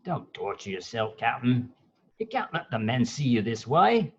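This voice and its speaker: A man's voice: mid-range voice, very animated, moderate pace, muffled recording, a little hiss or hum. A man acting a fawning, soothing pirate sidekick who coaxes and placates in a theatrical script table read. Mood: coaxing. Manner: coaxing, placating.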